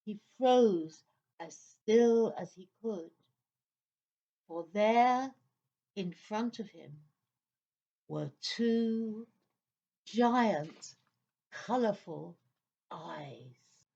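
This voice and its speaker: A woman's voice: mid-range voice, drawn-out words, gravelly, pauses often, dark tone, husky, highs cut off. A woman narrating a children's story in a theatrical, suspenseful style.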